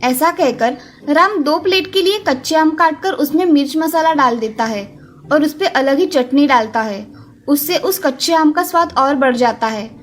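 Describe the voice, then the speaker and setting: A woman's voice: high voice, moderate pace, slight background noise. A woman reading a scripted children's story as its narrator. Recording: music under the voice.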